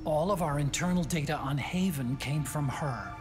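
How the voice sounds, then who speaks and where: An actor playing an earnest, somber scientist in a video game, explaining things in a soft-spoken way. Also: male voice, fairly high pitch, moderate pace, close to an everyday voice. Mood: regretful. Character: earnest, somber male scientist, quietly grieving. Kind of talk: video game cutscene acting. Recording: music behind.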